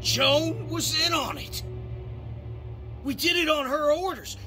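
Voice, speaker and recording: An actor playing a frightened, cowardly turncoat who pleads for himself in a dramatic video game. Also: male voice, very high-pitched, takes it slowly, music in the background. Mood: nervous confession.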